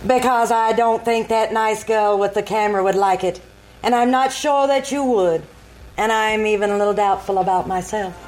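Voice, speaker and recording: A woman acting a spirited, proud society bride with a quick temper in a 1940s-style radio play. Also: medium pitch, fairly even intonation, a little mellow, slight background noise, close to an everyday voice, poor audio. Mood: gentle, reflective. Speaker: amateur radio troupe actor. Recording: staged radio play with music behind.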